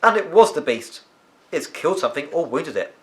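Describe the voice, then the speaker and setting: A man's voice: fairly high pitch, very animated, a little fast, a bit bright, voice pushed up. A man voicing an impulsive, defensive schoolboy hero in a children's story, speaking with urgency. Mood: alarmed. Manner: urgent, insistent.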